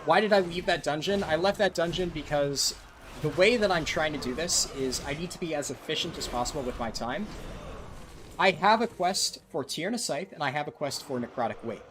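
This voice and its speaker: Young guy streaming a game, voice a bit high, talks loose and chatty, faint hum behind. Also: animated, fairly quick, a bit bright. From United States. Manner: chatty, answers viewer questions with frequent ums and likes. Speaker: livestreaming gamer. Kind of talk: casual live-stream commentary.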